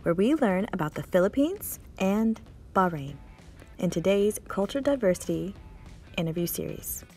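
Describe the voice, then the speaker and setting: A woman's voice: mid-range voice, animated, normal speed, warm tone, soft background music. A woman host giving a short scripted introduction to an interview series.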